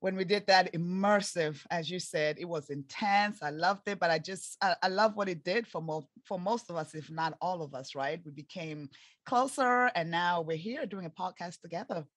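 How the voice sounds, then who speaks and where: Woman, 40s, East African accent, lowish voice, earnest and emphatic, dry close recording. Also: moderate pace, no room sound. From Tanzania and Zambia. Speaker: podcast guest, life coach. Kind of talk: interview storytelling.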